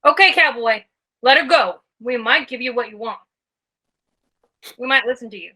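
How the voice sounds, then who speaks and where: A woman playing a high, sharp-voiced outlaw gal. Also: moderate pace. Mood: guarded, teasing. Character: spunky female outlaw bandit, bold and sassy. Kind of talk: western table read.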